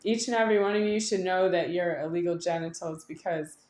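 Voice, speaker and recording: A woman speaks in a somewhat low register, with the room echoing around her. She delivers an unscripted, wandering monologue to an audience. Her phrasing halts and stretches, then circles back in insistent repetition. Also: fairly quick, darkish tone. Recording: echoey room.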